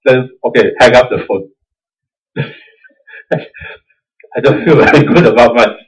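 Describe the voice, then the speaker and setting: Husky Singaporean man giving a dharma talk. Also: medium pitch, unhurried. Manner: conversational, self-deprecating, chuckles often, uses "lah". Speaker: Buddhist teacher. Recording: dull lo-fi recording.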